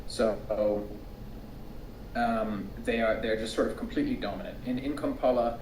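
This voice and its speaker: An American man who grew up in Tanzania, a researcher guest giving relaxed interview answers with frequent ums and restarts. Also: medium pitch, normal speed, noisy background. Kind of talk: casual conversational interview answers. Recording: distant, echoey remote recording.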